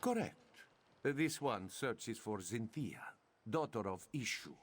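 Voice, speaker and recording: A man's voice: on the low side, animated, slow. A man playing a swaggering, gallant cat-folk investigator in a fantasy game, theatrical and pompous. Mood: grave, dramatic.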